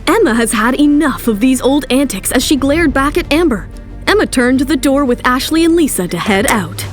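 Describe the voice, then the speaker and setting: A woman's voice: high voice, expressive, normal speed, faint music behind. A woman narrator giving a scripted, dramatic telling of a story.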